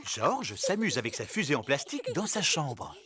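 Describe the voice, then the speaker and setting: A man's voice: a little high, big pitch swings, normal speed, very noisy. A man acting as a friendly storytelling narrator for a preschool cartoon.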